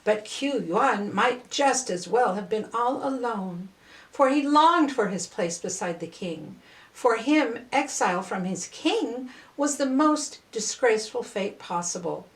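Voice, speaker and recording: An older woman reading a storybook aloud as a storyteller, with measured, deliberate phrasing. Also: on the high side, animated, recorded from a distance. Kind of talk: scripted storybook narration. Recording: roomy, echoing voice recording.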